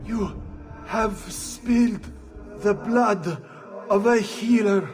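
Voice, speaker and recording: High, deliberate villainous healer. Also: male voice, music in the background. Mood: smug. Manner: deliberate, theatrical. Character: smug, power-drunk male Italian healer villain. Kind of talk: dramatic video game acting.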